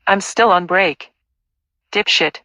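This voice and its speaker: A woman's voice: mid-range voice, takes it slowly, sharp tone. A woman playing a surly, sarcastic store worker in an audio play. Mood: irritated. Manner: sarcastic, irritated.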